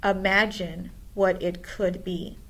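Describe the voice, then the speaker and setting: A woman's voice: on the low side, steady tone, slow pace, fairly bright tone, heavily acted, poor audio. A woman voicing a kind, earnest schoolteacher who encourages her pupils in a storybook. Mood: encouraging.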